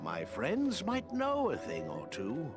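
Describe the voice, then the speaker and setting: A man's voice: a little high, wildly swooping pitch, slow, music in the background, heavily acted. A man playing a kindly, whimsical old wizard in a video game, with a chirpy, meandering way of talking. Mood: delighted, grateful. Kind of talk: video game cutscene acting.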